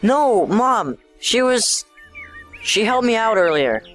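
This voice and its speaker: A woman's voice: a little high, sing-song, slow, a bit bright, faint music behind, exaggerated voice. A woman voicing a withdrawn, troubled schoolboy in an audio drama, subdued and terse. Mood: pleading. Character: withdrawn, troubled schoolboy, reluctant with his mother.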